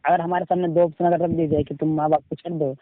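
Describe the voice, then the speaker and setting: A man on a phone call explaining his view in a halting debate, hesitant and rephrasing as he goes. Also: fairly high pitch, level tone, no echo. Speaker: caller being questioned. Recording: tinny narrow phone line.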